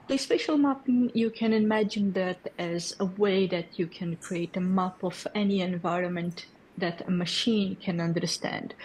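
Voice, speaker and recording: Brazilian woman explaining tech, slightly low voice. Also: a little mellow, a little hiss or hum, rough recording. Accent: Brazilian Portuguese. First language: Portuguese. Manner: friendly, explanatory, frequent fillers and check-in questions. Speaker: developer community lead presenting at a hackathon. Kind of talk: technical presentation.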